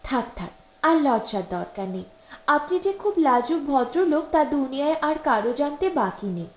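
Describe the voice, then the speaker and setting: A teasing wife and mother from an audiobook story, acted out by a woman narrator. She speaks high, with a gently scolding, bossily caring manner that fusses over her guest. The room echoes noticeably. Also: sing-song, normal speed. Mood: bossy caring. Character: teasing, hospitable young married Bengali mother. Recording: roomy, echoing voice recording.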